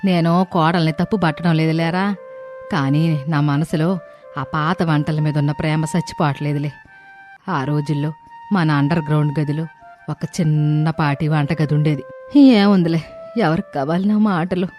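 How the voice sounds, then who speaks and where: A woman voicing a nostalgic elderly mother-in-law who complains and laments, in an animated moral story. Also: sits low, moderate pace, faint music behind, lightly acted. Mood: wistful, hurt. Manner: complaining, sighing over old times.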